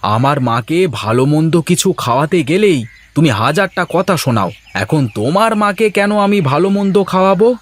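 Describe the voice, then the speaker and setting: A man's voice: fairly high pitch, lively intonation, moderate pace. A man voicing a devoted village son and firm husband in a cartoon. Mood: defiant, annoyed. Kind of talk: cartoon voice acting.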